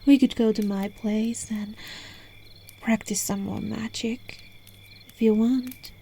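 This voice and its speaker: Woman acting as a seductive witch, ASMR roleplay, high-pitched, unhurried, teasing, controlling, coaxing, phone-mic recording. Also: fairly even intonation, dark tone, slight background noise. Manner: slow, coaxing, breaking into whispers and giggles. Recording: phone microphone recording, low quality.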